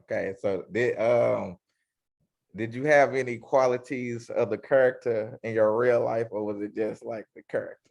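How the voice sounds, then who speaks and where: A man's voice: mid-range voice, fairly even intonation, normal speed, vocal fry, darkish tone, highs cut off. A man hosting a casual interview, talking in a loose, slangy, hyped-up way. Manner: hype, slangy self-introduction. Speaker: interview host.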